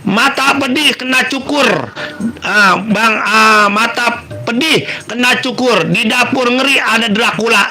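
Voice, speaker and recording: A man's voice: very high voice, a little slow, faint music behind, rough recording. A man with a Betawi Jakarta accent hosting a comedy show, reading listener questions and rhymes and cracking jokes at them. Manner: banters, teases listeners, breaks into loud bursts. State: runny nose, sniffly. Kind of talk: joking commentary and pantun.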